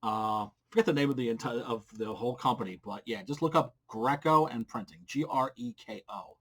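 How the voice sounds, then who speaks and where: A man's voice: medium pitch, expressive, fairly quick, vocal fry, breathy edge. A middle-aged man hosting a casual how-to vlog, talking in a blunt, informal way. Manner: blunt, informal, mildly profane. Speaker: comic book creator and video series host. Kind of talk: casual instructional vlog to camera. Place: small wood-panelled room at home, indoors. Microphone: large studio mic on boom arm with pop filter.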